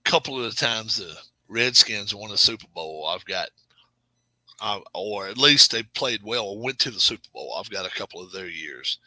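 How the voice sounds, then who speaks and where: Rambling, chatty man with a low, bright voice. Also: lively intonation, moderate pace, no room sound, low-quality recording. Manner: rambling, self-correcting, chatty with viewers; drops to a low murmur at times. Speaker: channel host. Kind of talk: casual live-stream chat.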